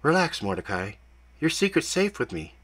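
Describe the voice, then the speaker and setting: A man voicing a calm telepathic woman guide who speaks softly and soothingly, with a touch of dry teasing. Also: medium pitch, normal speed, voice pushed up.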